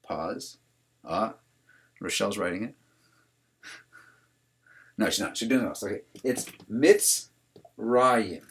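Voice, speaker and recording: A man's voice: on the low side, slow, pauses often, a little hiss or hum. A man teaching a religious class over a video call, talking casually and putting questions to his students. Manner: conversational, asks the class questions.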